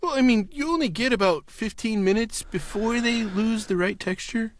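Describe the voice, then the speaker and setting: A man's voice: high-pitched, moderate pace, dry recording, close to an everyday voice. A man playing an awkward, food-obsessed caller to tech support, hesitant and earnest, in a comedy phone sketch. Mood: hesitant, sheepish.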